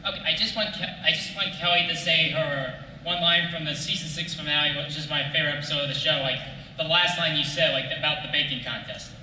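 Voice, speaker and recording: Sharp-voiced flustered man in big echo. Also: a little high, steady tone, fast talker. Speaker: fan asking questions from the audience. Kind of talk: casual spoken question. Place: convention panel room. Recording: very echoey hall from far off, faint noise.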